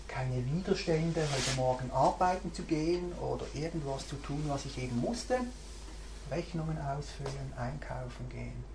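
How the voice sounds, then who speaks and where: A woman, a mindfulness teacher giving a reflective, searching talk to a group and thinking aloud as she goes. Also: low-pitched. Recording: roomy recording, faint background hiss.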